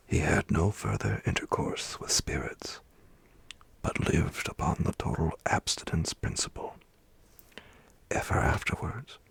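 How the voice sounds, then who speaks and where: A man narrating an ASMR bedtime story in a hushed, dramatic way, close to the mic. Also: extremely low pitch, creaky, slightly rough, no echo.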